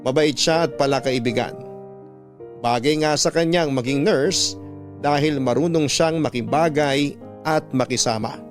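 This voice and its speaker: A man's voice: mid-range voice, natural voice. A man narrating a scripted first-person radio drama as a sincere letter writer looking back on youth, with a Filipino accent. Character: sincere adult man recounting his youthful love story. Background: plucked guitar music.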